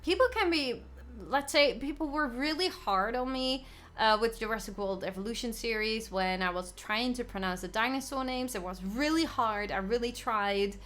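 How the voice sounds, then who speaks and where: Giggly Dutch woman streaming, high voice. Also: normal speed. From Netherlands. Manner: excitable, giggly, reading chat aloud. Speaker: gaming livestreamer. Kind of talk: casual live stream chat.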